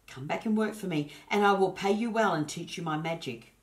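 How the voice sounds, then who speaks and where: A woman's voice: fairly low pitch, fairly quick, natural voice. A woman voicing a proud, powerful male sorcerer in a storybook, boastful and commanding in manner. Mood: grand, inviting.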